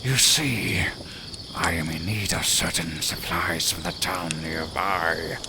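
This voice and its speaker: A man playing a cunning, proud lone werewolf in an audio roleplay, speaking formally and deliberately with a menacing edge. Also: low voice, background noise. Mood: measured, secretive. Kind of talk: slow theatrical audio roleplay.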